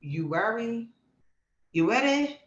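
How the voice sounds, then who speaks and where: Animated woman co-host in a roomy room. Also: on the high side, slow pace, highs cut off. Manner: animated, emphatic storytelling. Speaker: podcast co-host. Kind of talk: casual chat reading news.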